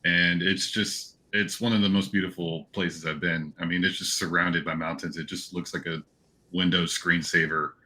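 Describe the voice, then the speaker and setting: A man hosting a casual chat, talking in a loose, informal, halting way. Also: low voice, fairly even intonation, pushed and bright. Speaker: podcast host.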